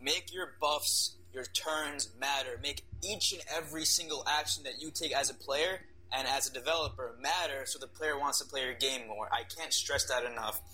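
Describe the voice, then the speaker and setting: A man's voice: mid-range voice, normal speed, few pauses, bright, forceful tone, low-quality recording. A man who is a regular on a casual podcast, joking and playfully sarcastic.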